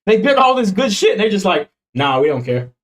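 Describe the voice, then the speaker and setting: Young guy streaming, jokey and slangy, voice bounces all over, kinda high. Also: sing-song, fairly quick, fairly bright tone. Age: 20s. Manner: loose, joking, laughs a lot, slangy. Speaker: streamer reacting to videos. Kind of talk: casual stream commentary.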